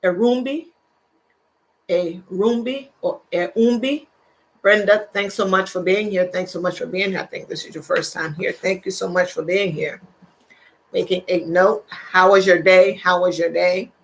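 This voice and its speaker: Older female host, gravelly voice, chatty and quick to laugh. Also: on the low side, steady tone, a little hiss or hum, poor audio. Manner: chatty, laughs often, repeats phrases. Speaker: livestream host. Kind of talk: casual live chat reading viewer comments.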